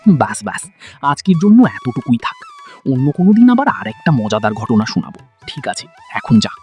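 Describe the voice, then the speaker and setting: A man playing a wealthy, chatty village gentleman telling amusing anecdotes in a colloquial cartoon style. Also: medium pitch, a little fast, soft background music, lightly acted. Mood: firm, friendly. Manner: animated, colloquial storytelling.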